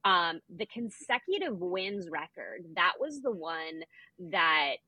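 A woman's voice: on the low side, very animated, takes it slowly, sharp tone, husky, dry recording, low-quality recording. A woman co-hosting a podcast, chatting casually about sport with wry asides. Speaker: podcast co-host. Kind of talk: casual sports chat, recapping records.

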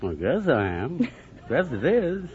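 A man's voice: on the high side, huge pitch swings, measured pace. A middle-aged man giving brief, unscripted answers as a contestant on a radio quiz show. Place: radio studio with live audience. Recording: muffled old radio recording, heavy noise. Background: loud studio audience, noise.

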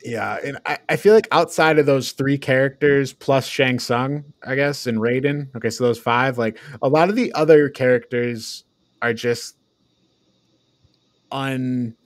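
Halting man, dry close sound. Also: medium pitch, no room sound. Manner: halting, thinking aloud. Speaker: podcast co-host reviewing a film. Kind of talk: casual review chat.

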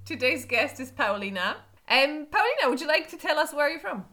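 A woman's voice: sits high, sing-song, a little fast, sharp tone, faint music behind. A woman co-hosting a podcast, upbeat and engaged with her guest. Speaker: podcast co-host. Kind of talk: podcast interview.

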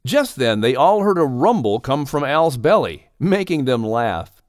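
A man's voice: mid-range voice, sing-song, unhurried, no echo, studio quality. A man reading a children's story as a scripted audiobook narration.

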